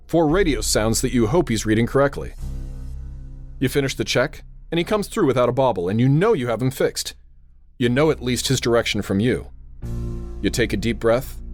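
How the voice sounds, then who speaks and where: A man acting as a grave, steady radio operator in an old-time radio drama. He speaks with deliberate authority, every instruction precise, in a mid-pitched voice. Faint music sits behind him. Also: close to an everyday voice. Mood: tense, brooding. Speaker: narrator and radio operator character.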